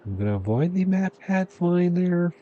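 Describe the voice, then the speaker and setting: A man's voice: high voice, sing-song, moderate pace, dark tone, muffled recording. A man giving casual gameplay commentary, offhand and thinking aloud as he reads out choices. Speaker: gamer playing on camera or stream. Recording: game music behind the voice.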